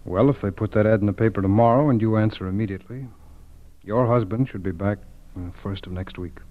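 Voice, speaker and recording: A very thin, band-limited vintage radio recording carries an actor playing a male FBI agent. His voice is fairly low, calm and businesslike, working through his words methodically in 1940s radio style. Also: narrow, tinny audio, close to an everyday voice. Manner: measured, methodical questioning, reassuring.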